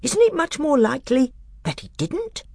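A woman's voice: sits high, wildly swooping pitch, normal speed, creaky, mellow, no echo, voice pushed up, heavily acted. A woman reading aloud, voicing a scheming, bitter male dwarf who speaks coldly and snaps. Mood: scornful. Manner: cold, snapping, rising to a scream.

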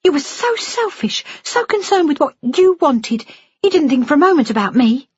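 A woman plays a young adopted daughter in a dramatised audiobook. She sounds wounded, cold and defiant, pitched well up and throwing accusations. The recording is slightly muffled. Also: a little fast, breathy edge, dry recording. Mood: angry, cold.

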